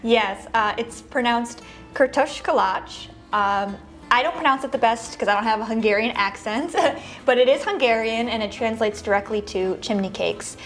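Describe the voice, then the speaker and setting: A woman's voice: a little high, moderate pace, fairly bright tone, faint music behind. A woman with an American accent giving informal, explanatory answers in an interview as a small business co-owner.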